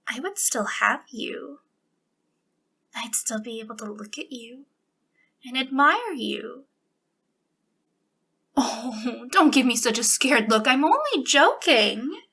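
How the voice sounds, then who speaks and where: A woman playing an obsessive, sweetly menacing female captor in an ASMR roleplay, speaking intimately in a breathy voice that slips into whispers. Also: sits high, pushed and bright, full character voice. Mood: mock-playful threatening. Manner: breathy delivery slipping into whispers, lingering pauses.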